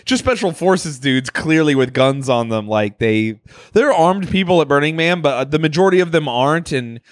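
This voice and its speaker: Laughing rambling man, slightly high voice. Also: animated, moderate pace, no echo, studio quality. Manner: loose rambling storytelling, laughs a lot. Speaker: podcast co-host. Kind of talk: casual comedic chat.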